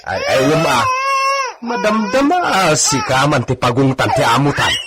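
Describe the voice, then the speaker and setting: A man's voice: a little high, huge pitch swings, normal speed, heavy background noise. A man with an Ilocano accent acting a gossipy, obedient male villa stable hand in a radio drama. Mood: startled, agitated.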